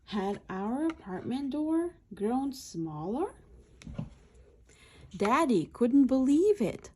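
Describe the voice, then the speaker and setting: A woman's voice: sits high, sing-song, slow pace, dark tone, a little hiss or hum. A woman reading a children's picture book aloud in short, simple phrases, adding explanatory asides.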